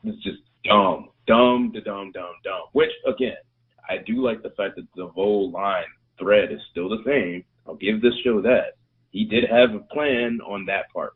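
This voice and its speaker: A man chatting casually as a podcast co-host, animated and acting out scenes in quoted voices, with a husky voice. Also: lowish voice, a little slow. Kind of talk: casual chat. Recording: tinny phone-like audio.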